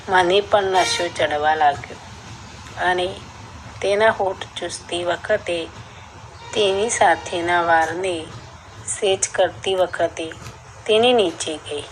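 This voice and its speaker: Woman telling a story in first person, really slow, kinda low voice, some noise behind her. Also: poor audio. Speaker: narrator.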